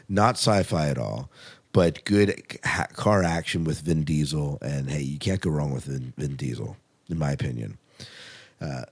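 A man hosting a podcast, chatting casually and enthusiastically as a fan. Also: deep voice. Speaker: podcast host. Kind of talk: casual fan chat about TV and film.

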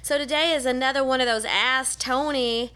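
A woman's voice: sits high, fairly even intonation, normal speed, sharp tone, smooth. A woman co-hosting a casual podcast, chatty and teasing. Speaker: podcast co-host.

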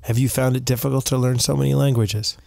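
A man interviewing a guest, asking quick questions and teasing with jokes. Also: mid-range voice, fairly even intonation, vocal fry, darkish tone, no echo. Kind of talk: casual interview.

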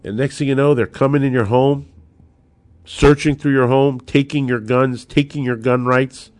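A man's voice: mid-range voice, fairly even intonation, normal speed, a little mellow, no room sound. A man hosting a podcast as an attorney, speaking in an emphatic, opinionated monologue.

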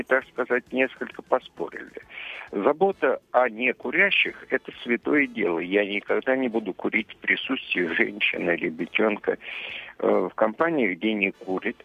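A man's voice: medium pitch, creaky, slightly rough. A man in his late seventies calling in to a radio show, arguing emphatically. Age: 70s. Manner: emphatic, argumentative. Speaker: caller. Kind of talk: call-in radio. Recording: tinny dry phone line.

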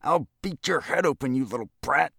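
A man voicing a pompous, short-tempered gentleman lobbyist in a storybook, stony and indignant. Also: fairly high pitch, animated, brisk, creaky, breathy edge, dry recording, studio quality, pitched above the performer's own voice, heavily acted. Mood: furious.

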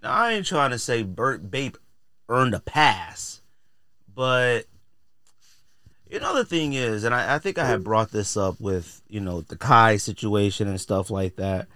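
A man's voice: on the low side, lively intonation, a little slow, pushed and bright, no room sound. A middle-aged American man hosting a podcast, talking casually in blunt, slangy, opinionated style. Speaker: podcast host.

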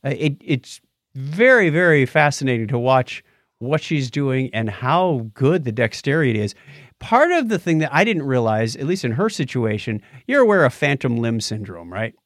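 A man co-hosting a science and tech podcast, chatting casually through news items in a jokey, animated way. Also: medium pitch, moderate pace, dry recording, studio quality. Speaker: co-host of a science and tech show. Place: home or podcast studio with acoustic foam.

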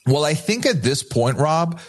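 A man's voice: a little high, very animated, unhurried. A man from Dubai co-hosting a casual podcast, recapping a reality show with emphatic, opinionated remarks. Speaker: podcast co-host.

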